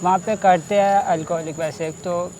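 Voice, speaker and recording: A young man from Himachal Pradesh speaks softly and politely in a fairly high voice. Faint outdoor ambience with passing traffic and insects hums behind him. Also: steady tone, moderate pace. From Himachal Pradesh, India. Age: young adult. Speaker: coworker.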